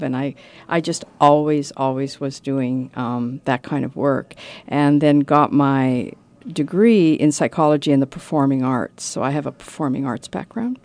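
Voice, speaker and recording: A woman's voice: low-pitched, darkish tone. A woman from Canada, a guest in an interview, talking earnestly about her work. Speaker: guest, communications director. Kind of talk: casual interview.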